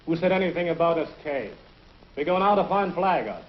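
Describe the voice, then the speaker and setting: A man's voice: a little high, expressive, brisk, natural voice. An actor playing a cocky, scoffing male schemer in a 1950s TV drama, on a muffled old soundtrack.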